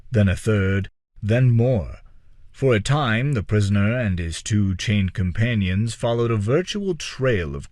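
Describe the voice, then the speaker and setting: Deep-voiced man narrating a dark tale, dramatic intonation. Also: no room sound, studio quality. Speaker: audiobook-style reader.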